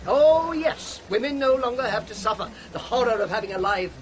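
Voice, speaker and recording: High-voiced, pompous state leader. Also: male voice, expressive, brisk, slight background noise. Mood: pompous, dismissive. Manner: declamatory, grandly dismissive lecturing. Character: authoritative world-state leader lecturing on the abolished past. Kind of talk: radio drama acting.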